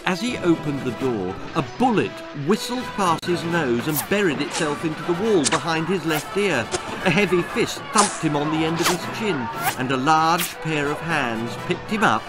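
A man in his sixties narrates a children's tale in a fairly high male voice. His delivery is expressive, measured and full of suspense, and music plays clearly behind him. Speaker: author reading own story. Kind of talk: dramatic children's storytelling. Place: small book-lined room at home.

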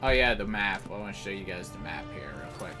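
A man's voice: low-pitched, lively intonation, fast talker, over loud music. A man giving casual, rambling live game commentary in an offhand way. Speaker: gameplay host.

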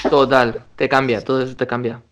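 A young man hosting a video call interview, asking questions briskly and answering with short agreements. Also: mid-range voice, little pitch movement, a bit bright. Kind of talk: quick-fire interview questions. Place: dim room at home. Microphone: video call webcam.

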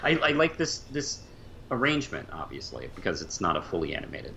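A man co-hosting a podcast in a casual fan discussion, speaking haltingly and often repeating words as he goes. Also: sing-song, creaky, pushed and bright. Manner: halting, trailing off mid-phrase and repeating words. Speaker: podcast co-host. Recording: muffled low-fi mic with faint hiss.

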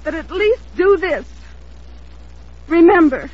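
A woman's voice: very high-pitched, expressive, slow, no room sound, exaggerated voice. An actress playing an anxious, insistent young woman in a 1950s radio drama, earnest and dramatically emphatic. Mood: pleading, insistent. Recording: muffled old-time radio recording.

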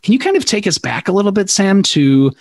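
A man's voice: high voice, very animated, brisk, dry recording. A man hosting a podcast, introducing his guest and asking playful, enthusiastic questions. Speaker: podcast host.

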